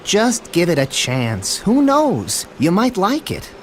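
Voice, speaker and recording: A man voicing an eager, slightly nervous young bee, earnest and excitable. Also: high voice, slow, slight background noise, heavily acted. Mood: eager, upbeat.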